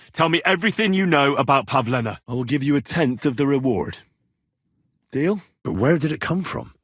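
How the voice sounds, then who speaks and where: A young medieval Bohemian adventurer in a video game, earnest but quick to lose his temper. His husky, mid-pitched voice moves in animated leaps and dips. The delivery is blunt and expressive, captured close and dry. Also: dry recording, full character voice. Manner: expressive, blunt, frequent swearing. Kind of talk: video game dialogue voice lines.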